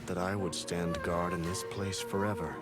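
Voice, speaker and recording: An actor playing a weary, solemn mystic guardian in a video game, speaking formally and reflectively. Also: male voice, low voice, little pitch movement, moderate pace, lightly acted. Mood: wistful, resigned. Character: weary, solemn male mystic master, guardian released from duty. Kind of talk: dramatic video game voice acting. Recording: loud game music under the voice.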